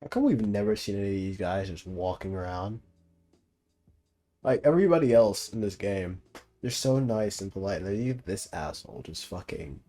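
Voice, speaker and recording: A man's voice: lowish voice, animated, normal speed, dark tone, soft background music. A young man giving casual, joking game reaction commentary, teasing and slangy. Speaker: gaming video host.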